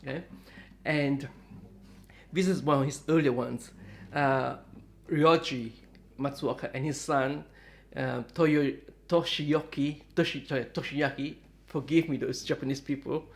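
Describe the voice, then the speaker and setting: Fifties male host, rambling and friendly, mid pitch, lots of fillers. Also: steady tone, unhurried, creaky. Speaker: guitar dealer and channel host. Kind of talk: casual chat to camera. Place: home in Melbourne, Australia.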